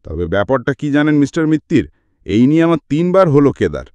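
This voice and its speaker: Worldly male doctor, voiced by a narrator, storybook dialogue, chatty, explanatory, mildly grumbling, animated intonation. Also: fairly high pitch, fast talker, no echo, dull audio. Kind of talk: audiobook character dialogue.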